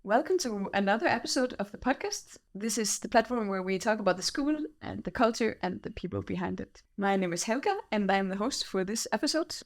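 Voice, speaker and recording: A woman's voice: mid-range voice, animated, brisk, few pauses. A woman hosting a podcast interview, playful and teasing, laughing along with her guest. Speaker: podcast host.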